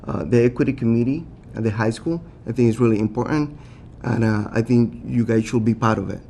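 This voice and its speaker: A man with a Latin American Spanish accent, a city council candidate giving earnest, halting answers at a forum, with many fillers and restarts. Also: mid-range voice, gravelly, warm tone, slight background noise.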